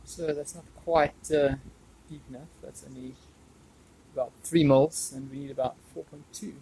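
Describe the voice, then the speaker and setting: A man's voice: fairly high pitch, steady tone, moderate pace, dark tone, rough recording. A man giving casual, unscripted hands-on commentary, thinking aloud as he works on a DIY woodworking job. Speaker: DIY woodworking video maker. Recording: clear background noise with clicks.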